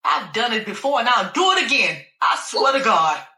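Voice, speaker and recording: A woman's voice: mid-range voice, sing-song, moderate pace, bright, forceful tone, echoey room. A woman voicing a volatile, furious woman who curses in explosive outbursts.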